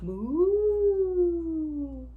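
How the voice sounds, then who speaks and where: A woman voicing a would-be scary pumpkin-headed scarecrow in a storybook, loud and drawn-out. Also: very high voice, sing-song, phone-quality sound, pitched above the performer's own voice, exaggerated voice. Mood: spooky. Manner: loud, drawn-out, spooky declaiming. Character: scary pumpkin head scarecrow, would-be frightener, easily deflated.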